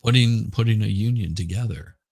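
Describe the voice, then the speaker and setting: A middle-aged man chatting casually as a podcast host, hoarse and rambling, often repeating words and restarting. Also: on the low side, fairly quick, a little mellow, dry recording. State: hoarse from smoke. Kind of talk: casual livestream chat.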